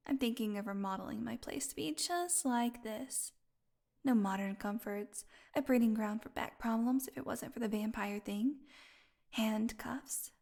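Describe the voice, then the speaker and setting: An actress voices a captive vampire woman in an audio roleplay, her voice fairly high and very unhurried. She is starved, flirty and sardonic, and her teasing lines often sink toward a breathy whisper. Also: a little mellow. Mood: sardonic, wry. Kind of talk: audio roleplay acting, intimate one-sided dialogue.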